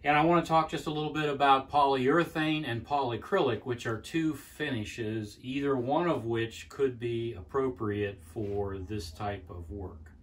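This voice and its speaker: An older man giving a plainspoken woodworking how-to in his home workshop, pausing with "uh" as he explains. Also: medium pitch, fairly bright tone, recorded from a distance. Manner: plainspoken, with frequent "uh" pauses and restarts. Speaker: woodworking instructor. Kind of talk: casual how-to demonstration. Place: cluttered home workshop, indoors. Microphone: camera at a distance.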